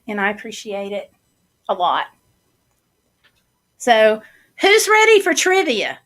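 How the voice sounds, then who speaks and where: A woman hosting a casual livestream and reading out viewer comments, talking slowly with many fillers while her voice is going hoarse. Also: a little high, fairly bright tone. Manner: slow, chatty, many fillers. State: losing her voice, throat clearing. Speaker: livestream host.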